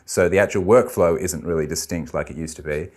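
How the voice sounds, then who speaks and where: An Australian man, a technology head, explaining ideas in a measured, thoughtful way during a conference interview. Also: deep voice, a little mellow.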